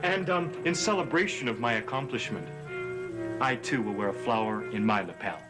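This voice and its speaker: An actor playing an earnest, brooding young man in a gothic soap opera, speaking deliberately. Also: mid-range voice, expressive, loud music behind the voice, natural voice, low-quality recording. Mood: self-satisfied. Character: earnest young man, newly worldly, bitter about love.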